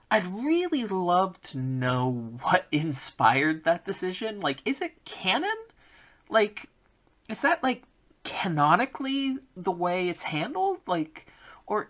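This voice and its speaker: A man's voice: on the high side, fairly bright tone, muffled recording. A man co-hosting a podcast in casual, comedic chat. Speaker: podcast co-host.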